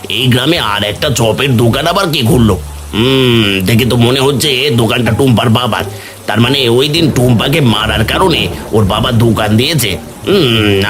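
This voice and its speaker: A man's voice: mid-range voice, fairly bright tone, close to an everyday voice. A man voicing a spiteful, scheming village snack-seller in a cartoon story, a cowardly villain who repeats his words.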